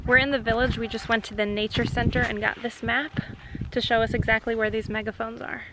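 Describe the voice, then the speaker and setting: A woman's voice: a little high, level tone, fairly quick, rough recording. A woman reporting and narrating in the style of a travel documentary.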